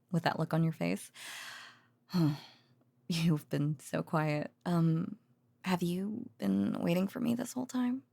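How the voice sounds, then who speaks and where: Woman acting a weary girlfriend, low creaky voice. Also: darkish tone, no echo, heavily acted. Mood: tender, teasing. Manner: halting, rambling, sighing, murmured endearments. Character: tired, affectionate girlfriend, an overworked researcher venting and doting. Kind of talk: intimate audio roleplay, addressing a sleepy partner. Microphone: close, dry studio microphone.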